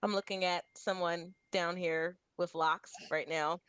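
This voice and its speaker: A woman's voice: fairly low pitch, fairly even intonation, a little slow, fairly bright tone, clean tone, no room sound. A woman co-hosting a casual group chat show in a teasing, mock-serious manner. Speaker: radio show co-host.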